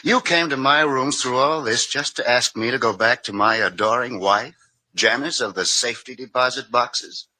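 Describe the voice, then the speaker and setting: A man's voice: medium pitch, moderate pace, pushed and bright, low-quality recording. A man acting a flippant, cynical drifter artist with sardonic, languid delivery in a 1950s radio drama.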